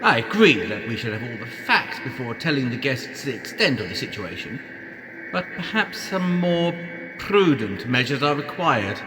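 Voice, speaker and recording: A man's voice: medium pitch, very animated, normal speed, music in the background, lightly acted. A man playing an earnest, worried hotel engineer, echoing. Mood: cautious. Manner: earnest, formal, cautious. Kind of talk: audio drama acting. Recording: reverberant space with clear background noise.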